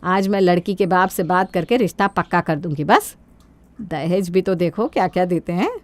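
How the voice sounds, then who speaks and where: A woman's voice: on the low side, moderate pace, natural voice. A woman voicing a greedy, sly middle-aged mother-in-law who feigns modesty in a sugary, wheedling way for a Hindi cartoon story. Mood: scheming, brisk.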